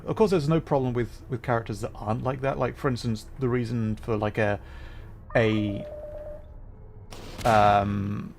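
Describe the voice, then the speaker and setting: A man chatting casually on a livestream while commenting on his gameplay, often thinking aloud haltingly. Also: medium pitch. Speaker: livestreamer playing a game. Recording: faint background noise, game ambience.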